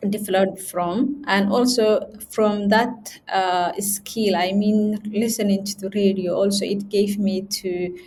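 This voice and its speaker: A young woman, a guest in an interview, talking in a halting way with many fillers and restarts. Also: medium pitch, steady tone, a little mellow, a little hiss or hum. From Somali region. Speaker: guest, chief editor. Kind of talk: interview conversation.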